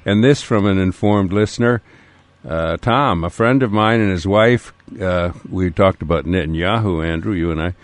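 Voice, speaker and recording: An American man co-hosting casual political talk radio, speaking in a halting way with frequent uhs. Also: sits low, no room sound. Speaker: radio co-host. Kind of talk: casual political talk, reading listener mail.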